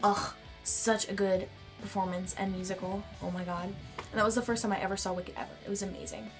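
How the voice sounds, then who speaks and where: Bubbly teen girl, stuffed-up nasal voice, says like a lot, quiet music behind. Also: mid-range voice, little pitch movement, brisk. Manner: bubbly, chatty, lots of "like". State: congested from a cold. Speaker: vlogger. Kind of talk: casual chat answering a tag. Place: bedroom.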